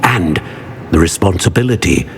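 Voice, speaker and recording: An actor playing a grave older wizard professor in a video game, speaking in a solemn, measured, formal manner. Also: male voice, sits low, expressive, creaky. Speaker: professor and keeper of a secret. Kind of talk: dramatic video game acting. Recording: long hall reverb, faint music behind.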